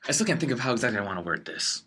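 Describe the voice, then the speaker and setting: A man's voice: medium pitch, sing-song, very fast, pushed and bright, slightly rough. A man playing a tabletop roleplaying game, chatting casually and thinking aloud in a hesitant way. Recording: faint noise in home recording.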